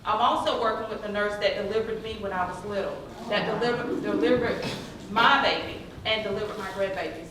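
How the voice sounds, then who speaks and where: A Southern American nurse in her forties or older tells her career story on a panel, with many ums, earnest and proud. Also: mid-range voice. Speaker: nurse speaking on an employer panel. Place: large conference hall. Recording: echoey hall, mic far off, noise.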